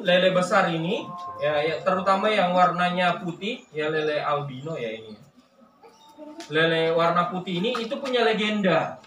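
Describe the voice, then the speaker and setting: A male tour guide explains things to a group in a conversational way, often checking that they follow. His voice sits on the high side for a man. It is picked up from some distance, with echo and very loud background noise. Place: on site at a historical cemetery and bathing pool. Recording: noisy, echoey, distant live tour recording.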